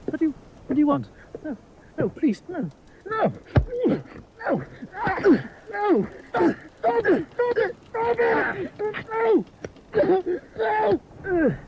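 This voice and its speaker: An actor playing a terrified, beaten prisoner of war in a radio drama, pleading and crying out in panic. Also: male voice, very high voice, very animated, takes it slowly, long pauses, muffled recording, full character voice. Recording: noisy radio drama track with clicks.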